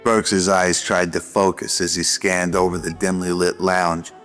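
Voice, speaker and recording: A man's voice: sits low, little pitch movement, a little slow, fairly bright tone. A man narrating a scripted noir radio drama. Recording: music behind the voice.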